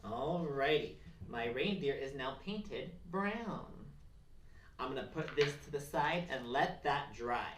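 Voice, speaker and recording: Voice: mid-range voice, expressive, slow. A craft presenter giving simple, encouraging step-by-step instructions to children. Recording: roomy, distant mic, faint noise and clicks.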